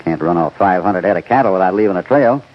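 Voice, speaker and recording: An actor playing a calm, shrewd cowboy hero in an old radio western, with an American accent and measured delivery. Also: male voice, deep voice. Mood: calm, probing. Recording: dull, noisy vintage radio recording.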